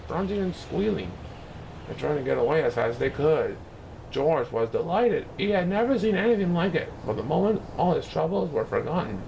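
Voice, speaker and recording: Animated higher-voiced man reading a children's storybook. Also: poor audio. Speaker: storybook reader. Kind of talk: scripted narration of a children's storybook. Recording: clear background noise.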